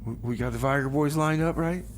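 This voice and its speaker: A man hosting a community radio music show and bantering loosely, in a joking, rambling way. His voice sits a little high. A faint hiss runs under it. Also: a little fast.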